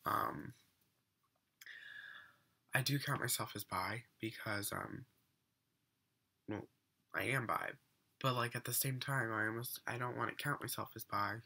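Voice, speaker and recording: A man's voice: medium pitch, measured pace, creaky, long pauses, sharp tone, breathy edge. A teenage boy rambling earnestly to a webcam in his bedroom, still getting over being sick, with lots of fillers and restarts. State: recovering from illness, voice slightly off. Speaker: vlogger. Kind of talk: casual webcam rant. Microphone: webcam at desk.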